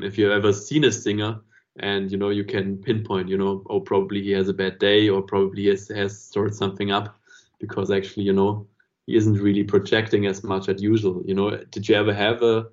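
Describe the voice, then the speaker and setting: Low, even-voiced man, reflective and rambling. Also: a little fast. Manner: rambling, reflective, often says "you know". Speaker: podcast host. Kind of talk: casual podcast conversation. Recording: dull lo-fi podcast audio.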